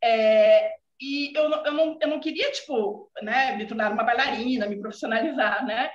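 A woman's voice: fairly high pitch, moderate pace, muffled recording. Gaúcha woman on an echoey video call. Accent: Brazilian Portuguese, gaúcha. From southern Brazil. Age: 40s. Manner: warm, earnest, many filler "né" tags. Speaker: student guest. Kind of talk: casual video call chat. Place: home room indoors. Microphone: video call laptop mic.

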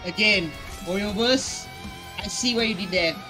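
Young man, reaction host, high voice, very unhurried, slangy, exclamatory, repetitive phrasing. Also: music in the background. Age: 20s. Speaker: reaction video host, gamer. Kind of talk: casual reaction commentary. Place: small bedroom, indoors.

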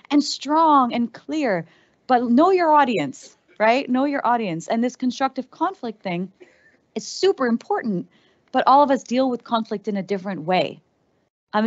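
A woman's voice: on the high side, lo-fi sound. A woman in her thirties from the Netherlands, giving a conversational keynote on a large stage with a headset mic and plenty of fillers. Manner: animated, conversational, frequent fillers. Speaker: keynote speaker. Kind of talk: conference talk.

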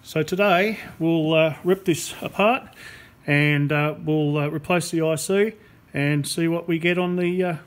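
A man explaining a hands-on radio repair as a technician, unscripted, with a halting delivery and long pauses. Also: on the high side, steady tone. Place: indoor workbench in a repair workshop. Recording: clear background noise, workshop ambience. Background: workshop room noise.

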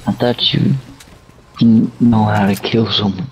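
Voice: mid-range voice, fairly even intonation, unhurried, a little hiss or hum, poor audio. A woman chatting casually as a teammate in an online game, blunt and curt.

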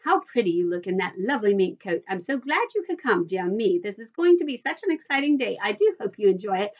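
A woman voicing an effusive, eccentric little male factory owner from a children's storybook, in a high, fluty voice and gushing bursts. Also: very animated, highs cut off. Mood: gushing delight. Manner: gushing, hurried bursts of exclamation.

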